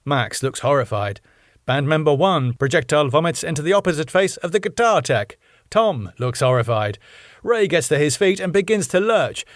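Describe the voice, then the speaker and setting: Writer-reader, male, lively pitch, dry close-miked sound. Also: normal speed, no echo. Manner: lively, animated delivery. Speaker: writer reading his own sitcom script. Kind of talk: script read-aloud with stage directions.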